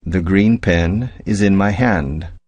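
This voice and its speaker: A man with a very low, deep voice reads short phrases at an unhurried pace. Each word is carefully enunciated in a plain drill delivery. The sound is dry and close. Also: dry recording, muffled recording. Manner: slow, even, careful enunciation. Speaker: English phrase reader. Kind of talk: slow read-aloud language drill.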